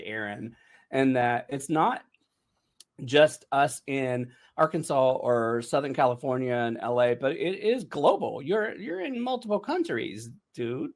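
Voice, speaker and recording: A man chatting casually on a panel, telling stories in an animated way and acting out his own reactions. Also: medium pitch. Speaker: panelist on a behind-the-scenes show. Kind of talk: casual chat.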